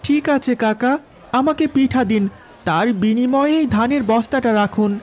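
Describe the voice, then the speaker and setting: Man voicing a high-pitched earnest village boy. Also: moderate pace. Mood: hesitant, polite. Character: Raju, earnest poor village boy, hardworking. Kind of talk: cartoon story dialogue.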